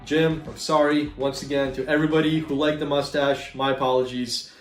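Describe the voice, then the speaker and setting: Young man, canadian accent with a slight slavic edge, relaxed filler-heavy chat, roomy echo, faint music behind. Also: mid-range voice, a little fast, distant mic. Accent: Canadian with a slight Slavic tinge. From Ukraine. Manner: relaxed and chatty, many fillers. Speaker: gaming YouTuber. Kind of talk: casual Q&A answering viewer questions.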